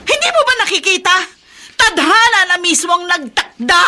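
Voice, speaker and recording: A woman's voice: very high-pitched, sing-song, normal speed, pushed and bright, heavily acted. An actress playing an embittered, insistent mother in a melodramatic, scolding radio drama style. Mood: indignant. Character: embittered, insistent mother pressing her stubborn husband.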